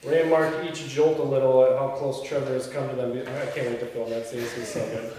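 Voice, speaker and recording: A man reads stage directions aloud and breaks off into casual discussion. His voice sits at a middle pitch and comes from some distance in a very echoey room. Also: little pitch movement, fast talker, mellow. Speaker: filmmaker reading stage directions at a table read. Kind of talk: script read-aloud mixed with casual discussion. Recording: echoey room, distant mic, faint noise.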